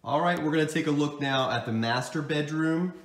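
A man's voice: on the high side, a little fast, fairly bright tone. A man in his thirties, a real estate agent, narrating a walk-through home tour on a handheld phone indoors. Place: indoors, hallway and rooms of an apartment. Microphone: phone held at arm's length. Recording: echoey rooms with background noise.

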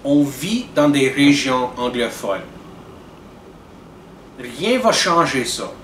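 Young man, earnest vlog commentary, unhurried, echoey room. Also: medium pitch, very animated, fairly bright tone. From Canada. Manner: earnest, deliberate, with frequent restarts and hand gestures. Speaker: video blogger. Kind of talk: direct-to-camera commentary. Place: indoors in front of a dark curtain. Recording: roomy, distant with air-conditioning hum.

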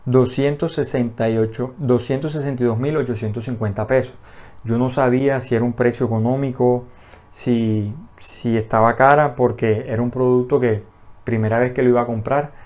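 A young man talks straight to the camera in a small indoor room. He keeps it casual, leaning on "eh" fillers and quick self-corrections. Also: medium pitch, a little mellow. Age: young adult. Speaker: vlogger. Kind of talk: casual talking-head explainer. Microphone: front camera at chest-up framing.

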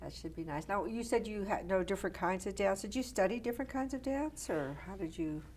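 A woman's voice: mid-range voice, expressive, brisk, darkish tone. A woman hosting a studio interview show, welcoming a guest and giving friendly, encouraging prompts into a lapel mic. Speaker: talk show host. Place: TV studio set, indoors.

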